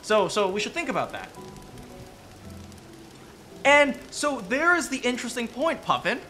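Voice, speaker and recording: Lively high-voiced man rambling casually. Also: unhurried. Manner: rambling, frequent restarts and "right?" tag questions. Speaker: streamer discussing game lore with chat. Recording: faint music behind.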